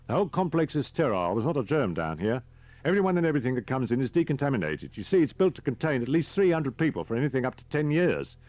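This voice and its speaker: A man voicing a brisk, deferential government driver, chatty and matter-of-fact, as audiobook dialogue. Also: medium pitch, very animated, darkish tone, no echo, voice pushed up, natural voice. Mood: brisk explaining.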